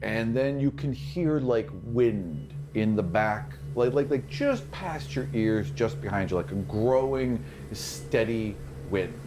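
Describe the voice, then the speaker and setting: A man's voice: medium pitch, animated, slow, few pauses, over music. A man speaking as a game master, narrating and chatting conversationally with players at a tabletop game. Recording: clear background noise.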